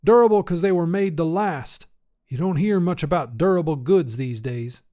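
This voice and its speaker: Guy doing a cranky old grandpa tinkerer for a story, high pitched, folksy n grumbly, really emphatic. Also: moderate pace, warm tone, close to an everyday voice. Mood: nostalgic grumbling. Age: older. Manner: folksy, grumbling, emphatic, rural turns of phrase. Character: cantankerous old grandfather tinkerer, obsessed with decay and repair. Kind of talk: acted character dialogue in short fiction reading.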